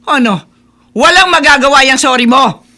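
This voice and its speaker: A woman's voice: high-pitched, moderate pace, pushed and bright. An actress playing a hot-tempered, middle-aged mother, berating sharply in a radio drama. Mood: furious.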